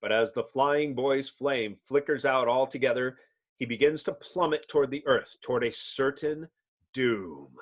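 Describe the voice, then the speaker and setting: A man's voice: mid-range voice, measured pace. A man giving a dramatic comic-book narration in a theatrical, suspenseful and emphatic manner. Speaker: narrator, reader.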